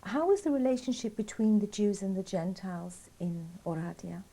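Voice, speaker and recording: Mellow-voiced woman asking short interview questions. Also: mid-range voice, normal speed. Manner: brief, plain questions. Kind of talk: oral history interview questions.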